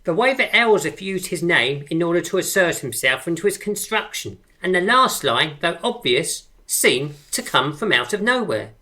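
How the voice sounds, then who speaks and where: Radio drama: grand, effusive poetry circle leader. Low-set, forceful, animated delivery. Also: female voice, moderate pace, hardly any pauses. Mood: grandly encouraging. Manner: grandly articulate, effusive, theatrical. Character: brisk, pretentious leader of an amateur poetry circle.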